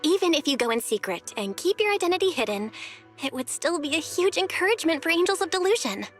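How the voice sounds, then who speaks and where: A young woman from an anime-style video game, friendly and earnest. Her voice sits high and moves around a lot in conversational, expressive lines. Music plays underneath. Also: quick pace. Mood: earnest, encouraging. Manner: expressive, animated, conversational delivery.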